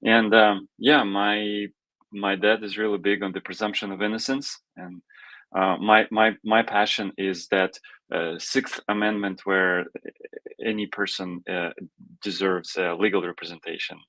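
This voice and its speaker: A man who grew up in Russia, answering interview questions in a halting way with many restarts. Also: on the low side, level tone, sharp tone, no echo, poor audio. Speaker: guest, immigration lawyer and founder.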